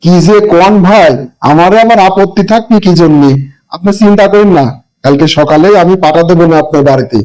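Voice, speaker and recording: Man acting weary old Bangladeshi village father, higher-pitched. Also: a little fast, darkish tone, natural voice, rough recording. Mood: grateful. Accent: rural Bangladeshi. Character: poor old village father, weary, scolding but loving. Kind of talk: amateur cartoon voice acting.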